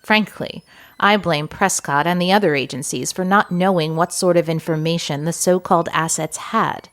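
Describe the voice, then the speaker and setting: A composed, authoritative woman in charge of spies played by an actress in an audio comedy. She speaks fairly low, with formal, deadpan delivery and a stern edge. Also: moderate pace, lightly acted. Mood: composed, dry. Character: stern, composed, dry female spy boss. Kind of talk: audio comedy drama acting.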